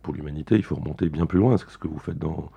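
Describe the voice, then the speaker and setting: A middle-aged man hosting a formal radio interview, speaking in a measured, formal way with some hesitations. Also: extremely low pitch, gravelly, mellow, husky, lo-fi sound. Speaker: radio host.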